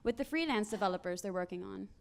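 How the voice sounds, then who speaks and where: A woman's voice: mid-range voice, fast talker, vocal fry. A woman speaking on a conference panel, telling work stories and acting out the people in them. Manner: animated storyteller acting out quoted people.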